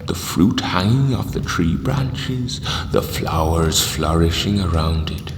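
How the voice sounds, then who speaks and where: A man acting in an audio drama as a calm, zealous cult leader, speaking solemnly and grandly with hushed menace. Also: low-pitched, slow pace, noisy background, heavily acted. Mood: ominous, reverent. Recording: echoey hall with long reverb.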